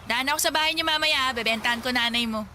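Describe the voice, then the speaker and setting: A woman's voice: sits high, fast talker, noisy background, lightly acted. An actress playing a cheerful, teasing young woman who sells sweets, in a radio drama. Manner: playful, bantering.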